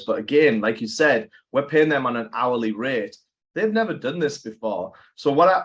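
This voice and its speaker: A man hosting an interview, talking in an animated, conversational way and thinking aloud. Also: mid-range voice. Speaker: podcast host. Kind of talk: casual interview chat. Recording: dull lo-fi audio.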